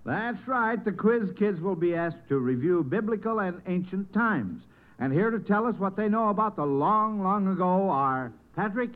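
An American man hosts a vintage radio quiz show with a high voice. He is effusive and encouraging with contestants. The recording is thin and band-limited, like an old broadcast. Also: animated, moderate pace, narrow, tinny audio.

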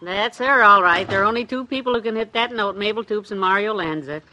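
An actress playing a sensible, homey middle-aged housewife with a wry manner in a 1950s radio comedy. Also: female voice, medium pitch, brisk, heavy background noise, lightly acted. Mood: busy, cheerful.

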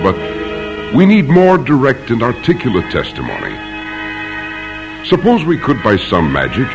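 An actor narrating a 1950s radio history play in a theatrical, deliberate, eloquent way. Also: male voice, low voice, full character voice, low-quality recording. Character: solemn, poetic male narrator of a history play.

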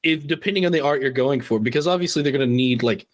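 A man's voice: mid-range voice, fast talker. A man co-hosting a podcast, casually pushing back and rambling a little. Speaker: podcast co-host. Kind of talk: casual chat.

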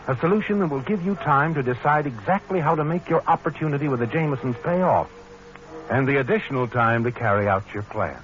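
An actor playing a knowing, ominous narrator in a vintage radio suspense show, dramatic and insinuating. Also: male voice, mid-range voice, lively intonation, a little fast, natural voice. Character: knowing, ominous male narrator addressing the schemer. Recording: muffled old radio, music underneath.

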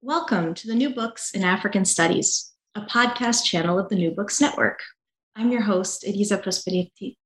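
A woman hosting an academic book podcast, reading her introduction in a polite, measured way. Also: mid-range voice. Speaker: podcast host. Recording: roomy echo.

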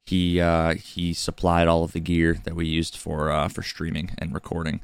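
A man with a hoarse voice, recapping a podcast episode in a laid-back way with lots of filler words. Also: deep voice, monotone, moderate pace, no room sound. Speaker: podcast co-host. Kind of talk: solo intro recap.

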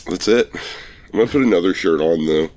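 A man co-hosting a casual podcast, his voice husky and hoarse from a cold, talking in a laid-back, rambling, self-mocking way. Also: low-pitched, fairly quick, darkish tone. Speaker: podcast co-host.

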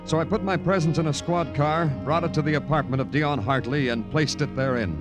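An actor playing a weary, wry New York police detective in old radio noir, with deadpan, brooding delivery. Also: male voice, a little high, fairly quick, over loud music, close to an everyday voice. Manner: terse deadpan dialogue, brooding poetic narration. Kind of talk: 1950s radio noir acting and narration.

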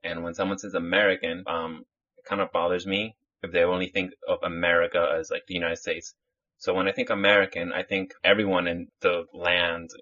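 A man from Chile chats on a podcast in a very low, husky voice, rambling through restarts and fillers. The audio is muffled and lo-fi, as if from a modest setup. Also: flat delivery, fairly quick, sharp tone. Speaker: podcast guest. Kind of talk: casual podcast chat.

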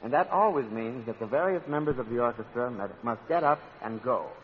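Guy hosting a 1940s radio variety show, mid pitch, muffled old broadcast, bit of noise. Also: animated, a little fast. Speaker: radio show host and comic straight man.

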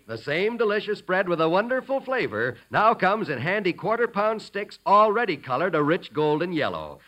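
Male 1940s radio announcer. High, bright, animated ad read, polished and emphatic. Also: dull audio.

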